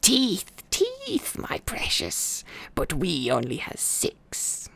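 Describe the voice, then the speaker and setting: Hungry cave creature, raspy hissing whisper, wildly swooping pitch, wheedling, storybook villain. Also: male voice, wildly swooping pitch, a bit bright, heavily acted. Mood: triumphant hissing. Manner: broken grammar, drawn-out muttering to itself. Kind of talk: storybook character voice in ASMR reading.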